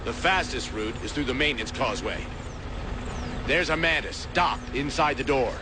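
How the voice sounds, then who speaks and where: A man playing a stern, forceful starship captain in a video game, giving gruff urgent orders. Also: mid-range voice, animated, moderate pace, noisy background, lightly acted. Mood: urgent, commanding. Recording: game music behind.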